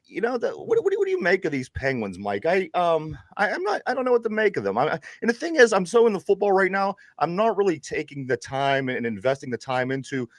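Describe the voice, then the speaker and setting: Casual pittsburgh guy hosting a sports podcast, rambly, lots of restarts, bouncy pitch, dry sound. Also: huge pitch swings, quick pace, hardly any pauses, no room sound. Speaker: podcast host.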